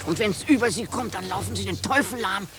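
An actress playing a calm, pious old Puritan grandmother, measured and admonishing, in 1950s German radio drama style. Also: mid-range voice, a crowd in the background, natural voice.

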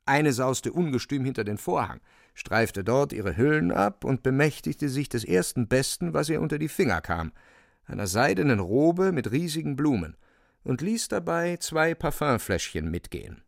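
A man's voice: mid-range voice, very animated, normal speed, vocal fry, no echo, clean studio sound. A man narrating a radio drama in a scripted, theatrical storytelling style.

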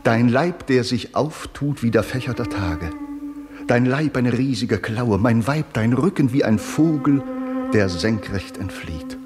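An actor playing a solemn, entranced poet who recites incantatory verse in measured phrases for a radio drama. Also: male voice, medium pitch, lively intonation, over music, close to an everyday voice. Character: solemn male lover-poet chanting surrealist verse, entranced.

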